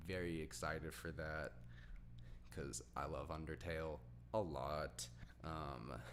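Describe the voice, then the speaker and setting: Male podcast host, low voice, rambling enthusiastic fan talk, faint background noise. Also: fairly even intonation, slow pace, a bit bright. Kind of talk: casual podcast chat.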